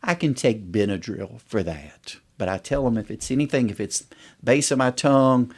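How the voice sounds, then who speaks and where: A man's voice: mid-range voice, expressive, moderate pace, a little mellow. A middle-aged man speaking as an allergist guest on a podcast, explaining things conversationally with illustrative examples.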